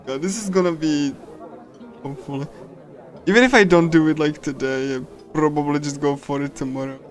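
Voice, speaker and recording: A man's voice: on the high side, fairly quick, faint music behind. A man streaming a visual novel with casual, rambling commentary, often repeating himself and exclaiming. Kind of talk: casual live commentary on a game.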